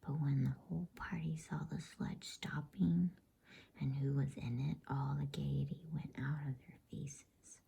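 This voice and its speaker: A woman reading a bedtime storybook aloud in a soothing, unhurried ASMR whisper. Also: a bass voice, little pitch movement, gravelly, warm tone, husky.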